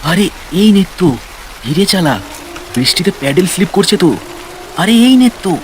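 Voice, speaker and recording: A man's voice: high voice, animated, normal speed, pitched above the performer's own voice. A man voicing a frightened young village man calling urgently to his friends in a horror cartoon. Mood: alarmed. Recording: rain and storm noise behind.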